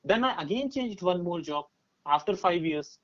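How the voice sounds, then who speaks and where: A man's voice: high voice, expressive, normal speed. A man in his twenties with an Indian accent, giving career advice as a podcast guest in an emphatic, explanatory conversational way, often checking that he is followed. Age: 20s. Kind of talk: conversational advice with personal examples. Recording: dull, muffled lo-fi audio.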